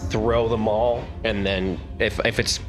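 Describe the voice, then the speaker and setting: Low-voiced man playing a tabletop game. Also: fairly even intonation, a little slow, music in the background. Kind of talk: casual improvised play.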